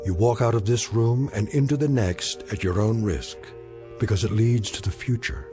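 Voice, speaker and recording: An actor as a grave, solemn narrator of eerie anthology drama, speaking deliberately and portentously. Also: male voice, on the low side, music in the background, natural voice. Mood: grave, ominous. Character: grave, ominous male narrator of eerie tales.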